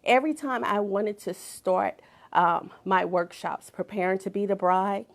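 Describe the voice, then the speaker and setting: Gravelly-voiced woman preaching purpose. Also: medium pitch, measured pace. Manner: emphatic, repeats phrases for effect, drops to whispers. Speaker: motivational speaker and author. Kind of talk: inspirational talk with preaching.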